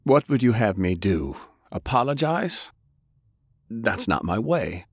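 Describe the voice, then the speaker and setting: A man's voice: fairly low pitch, sing-song, slow, husky, no echo, studio quality, voice pushed up, exaggerated voice. An actor playing a proud, domineering wealthy old grandfather in an audiobook, commanding and blustering. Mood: dismissive.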